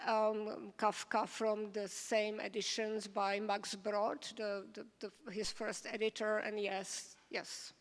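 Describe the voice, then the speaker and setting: A woman moderating a panel Q&A, brisk with hesitant fillers. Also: mid-range voice, level tone. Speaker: moderator and scholar. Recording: reverberant hall.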